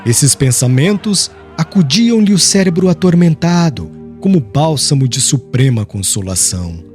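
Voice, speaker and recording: Audiobook narrator, male, Brazilian accent: solemn and theatrical, higher-pitched with lively swings, clear music underneath. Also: a little slow. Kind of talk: dramatic scripted literary narration. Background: background music.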